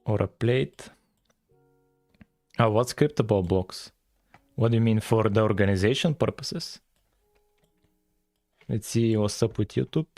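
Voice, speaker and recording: A man's voice: on the low side, a little slow, long pauses, a little mellow, no echo, soft background music. A man from Bulgaria with a Bulgarian accent, chatting casually and thinking aloud as he livestreams his coding. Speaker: live streamer, game developer.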